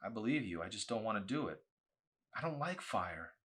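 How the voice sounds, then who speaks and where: A man's voice: fairly low pitch, very animated, normal speed, a bit bright, voice pushed up. A man voicing a frightened, sullen, self-loathing boy in a storybook reading, strained and reluctant. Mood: scared reluctance.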